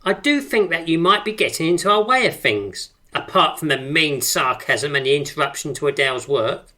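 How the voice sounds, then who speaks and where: Radio drama: grand, effusive poetry circle leader. Low-set, forceful, animated delivery. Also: female voice, normal speed. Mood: brisk, chiding. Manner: grandly articulate, effusive, theatrical. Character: brisk, pretentious leader of an amateur poetry circle.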